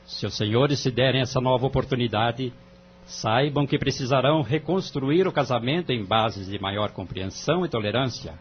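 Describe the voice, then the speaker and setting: A Brazilian man playing a calm, wise family-court judge in an audio drama, speaking in a formal, measured, moralizing way. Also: medium pitch, a little hiss or hum, close to an everyday voice. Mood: solemn, encouraging. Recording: dull lo-fi audio, music underneath.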